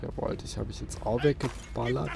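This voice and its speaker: A man's voice: medium pitch, fairly even intonation, normal speed, creaky. A man doing casual let's play commentary, thinking aloud with playful little interjections. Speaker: let's player, channel host. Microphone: close desk microphone. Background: game music.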